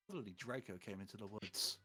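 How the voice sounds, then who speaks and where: A man's voice: lowish voice, fast, pushed and bright, slightly rough. A man reading fan fiction aloud for comedy as part of a group livestream. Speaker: story reader on a group stream.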